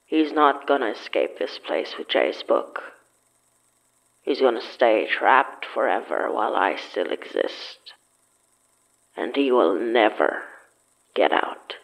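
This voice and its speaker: Slow gravelly ghost jailer for horror podcast, sardonic n vengeful, pitch moves around a lot. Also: male voice, expressive, rough recording. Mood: firm, vengeful. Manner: slow, deliberate, calls listener "dove". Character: sardonic, deliberate ghostly jailer guarding forbidden books, vengeful. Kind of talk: audio drama acting.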